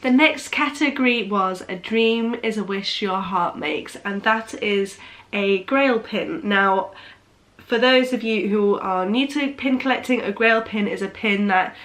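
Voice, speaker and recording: A woman's voice: medium pitch, unhurried, a bit bright. Young woman, nasal with a cold, casual vlog chat, hesitant restarts. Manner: chatty, hesitant with frequent restarts. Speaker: vlogger.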